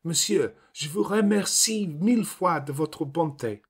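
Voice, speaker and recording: An excitable, coquettish little French girl acted by a man in a storybook reading. The voice is lifted well above the reader's own, dainty and gushing. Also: on the high side, measured pace, slightly rough, voice pushed up. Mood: coquettish, grateful.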